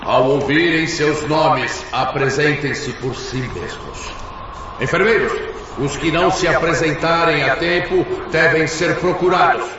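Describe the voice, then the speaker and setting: A man's voice: on the high side, unhurried, natural voice. An actor playing a commanding male rescue leader calling out names in a radio play. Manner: authoritative, announcing. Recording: echoey distant lo-fi voice in a hall with noise.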